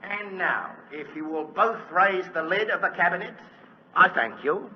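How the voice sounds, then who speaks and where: An actor playing a showy, courteous stage magician, announcing formally and deliberately in 1940s radio style. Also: male voice, sits high, natural voice. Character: showy stage magician addressing his audience, courteous and theatrical. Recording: dull, hissy, echoey old radio recording.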